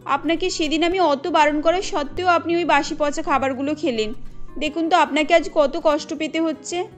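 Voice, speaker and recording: Woman doing a sweet caring young bride, cartoon, high voice, polite and gentle. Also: normal speed, soft background music, close to an everyday voice. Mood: concerned. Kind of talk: cartoon dialogue acting.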